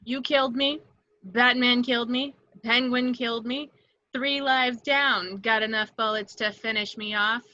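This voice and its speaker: A woman's voice: a little high, steady tone, measured pace, pushed and bright, muffled recording. A woman acting a vengeful, seductive catlike antiheroine in a superhero table read, with dramatic taunts. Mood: defiant. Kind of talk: amateur table read of a superhero film script.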